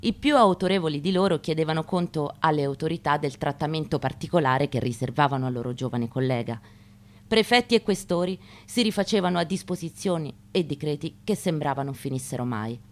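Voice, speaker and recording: A woman gives a dramatic literary reading in a measured, declamatory manner, with deliberate pauses. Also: sits low, lively intonation. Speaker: actress giving a stage reading. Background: acoustic guitar.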